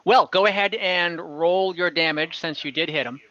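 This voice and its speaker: A game master running an online tabletop game, helpful and checking the rules out loud. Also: mid-range voice, moderate pace, no room sound. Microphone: headset or desk mic on a video call. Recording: dull online call audio.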